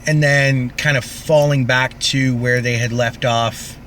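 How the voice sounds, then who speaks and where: A man's voice: mid-range voice, steady tone, unhurried, a bit bright, slight background noise. A man from Canada co-hosting a podcast, recapping television in loose, casual chat. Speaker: podcast co-host.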